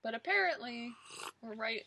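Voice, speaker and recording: A woman's voice: a little high, expressive, moderate pace, creaky, a crowd in the background. A woman co-hosting a casual podcast, playful and wry, laughing often. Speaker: podcast co-host. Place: rural home studio.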